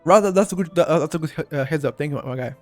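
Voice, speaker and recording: A man with a Bulgarian accent and a fairly high voice walks viewers through a game live. He talks to his chat directly and loosely, circling back and repeating his words as he goes, with faint music underneath. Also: fast talker. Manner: chatty, addresses chat directly, repeats himself. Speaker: game guide streamer. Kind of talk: live-streamed gameplay walkthrough.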